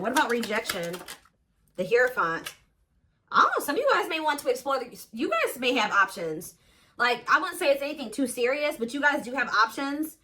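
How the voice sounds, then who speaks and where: A woman's voice: a little high, noisy background. A woman giving a casual tarot reading, thinking aloud with lots of fillers and restarts. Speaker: tarot reader.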